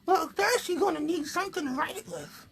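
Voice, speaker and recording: A sassy little girl puppet, acted out by a man, speaks in a high, squeaky pitch for a children's show. She is blunt and quick to tease. There is some background noise. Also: creaky. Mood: cheeky.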